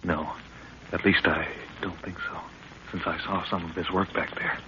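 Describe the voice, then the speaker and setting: A young man playing a calm, protective sweetheart and adventurer, steady and reassuring, in 1930s radio acting style. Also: low voice, normal speed. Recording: dull, noisy 1930s radio recording.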